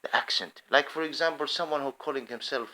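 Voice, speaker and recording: A man's voice: medium pitch, fairly quick, pushed and bright. A man giving an impassioned, rambling unscripted monologue to camera. He often restarts his phrases. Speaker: video commentator.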